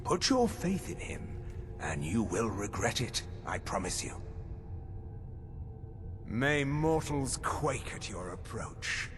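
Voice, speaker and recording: An actor playing a scheming vampire courtier in a video game, confiding warnings in a measured, insinuating manner. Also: male voice, mid-range voice, very animated. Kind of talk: video game dramatic voice acting. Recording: long echoing hall, music behind.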